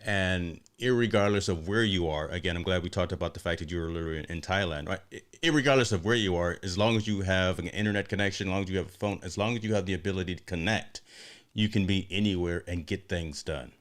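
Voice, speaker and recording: Deep-voiced male host, chatty and upbeat, repeats himself, motivational tone. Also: fast. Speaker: livestream host. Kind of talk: casual livestream interview.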